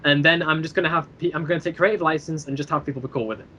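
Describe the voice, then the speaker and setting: A man with a fairly high voice talks casually as a guest, working through his points and mimicking how others might react. The recording is dull and has background noise. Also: steady tone, racing, pushed and bright. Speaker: podcast guest, creator of an audio drama series. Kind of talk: casual discussion. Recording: muffled band-limited mic with clear background noise.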